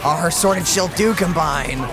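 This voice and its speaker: A young adult hosting a reaction video with excitable casual commentary full of gamer slang. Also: medium pitch, moderate pace, music in the background.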